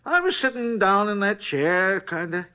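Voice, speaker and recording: Talkative backwoods night clerk, bright, lively, folksy grammar, 1950s radio play. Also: male voice, high voice, normal speed, clear, resonant tone. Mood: chatty. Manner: rambling, chatty, rustic grammar. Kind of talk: 1950s radio drama acting.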